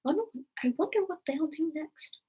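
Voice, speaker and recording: A woman's voice: sits high, expressive, brisk, warm tone, voice pushed up. A woman voicing a thoughtful little girl from a storybook, wondering and debating with herself.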